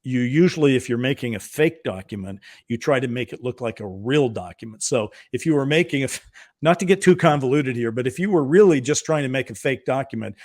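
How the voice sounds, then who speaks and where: A man's voice: medium pitch, dry recording, clean studio sound. A male podcast co-host talking casually and explaining at length as he thinks aloud. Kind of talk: casual conversational analysis.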